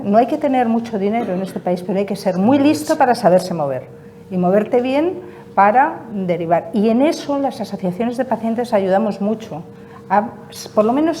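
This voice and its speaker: Emphatic woman, mellow voice. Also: mid-range voice, a little hiss or hum. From Spain. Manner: emphatic, repeats key points. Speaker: patient association representative. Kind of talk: panel interview answers.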